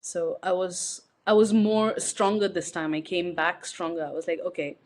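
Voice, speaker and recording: Reflective young woman from India, lowish voice. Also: little pitch movement, moderate pace. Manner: reflective, hesitant, with many fillers and restarts. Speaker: guest. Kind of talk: personal interview conversation.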